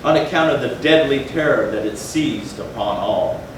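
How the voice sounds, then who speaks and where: A man narrating gothic horror as a dramatic stage reading, solemn and theatrical with deliberate phrasing. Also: medium pitch. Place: indoor theatre, recorded from a distance. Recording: very echoey hall, far mic, noisy.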